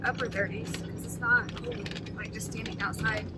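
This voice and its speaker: A woman's voice: fairly high pitch, flat delivery, moderate pace. A young woman narrating a casual farm vlog, chatty and explaining the work as she films outdoors on a snowy farm. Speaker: farm vlogger. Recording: outdoor recording with clear background noise.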